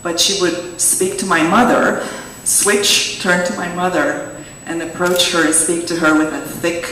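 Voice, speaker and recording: A woman's voice: fairly low pitch, fairly even intonation, unhurried, a bit bright, a little hiss or hum. A woman from the audience, a native Hungarian speaker, telling a story in English. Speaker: audience member. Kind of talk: sharing an anecdote. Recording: distant echoey hall mic.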